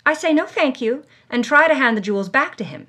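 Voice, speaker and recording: A woman's voice: sits high, lively intonation, brisk, sharp tone, full character voice. An actress playing a hard-bitten, world-weary woman reading her journal with wry, dramatic emphasis, as first-person audio drama narration. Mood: defiant, rattled.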